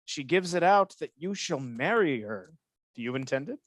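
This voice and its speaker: A man's voice: a little high, animated, dry recording. A man acting a manipulative villain in a Shakespearean radio play, insinuating and theatrical, posing as a loyal officer. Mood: sly prodding. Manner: insinuating, measured, theatrical verse delivery.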